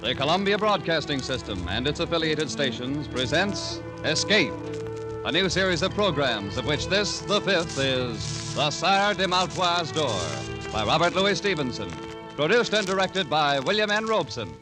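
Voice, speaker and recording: Male announcer, vintage radio broadcast, very expressive formal narration over faint music. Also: on the high side, normal speed, poor audio. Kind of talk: 1940s radio scripted narration.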